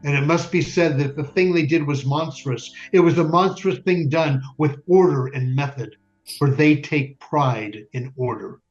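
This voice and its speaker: Actor as bitter male survivor-narrator, stage drama; highish voice, grave and declamatory, slightly muffled lo-fi sound. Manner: grave, deliberate, declamatory, with emphatic lists. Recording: dull, lo-fi stage recording. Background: music.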